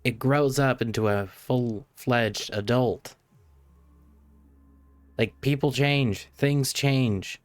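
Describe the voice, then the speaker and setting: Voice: medium pitch, very slow, long pauses, no room sound, faint music behind. A game streamer giving casual live commentary in a sweary, exasperated, argumentative manner.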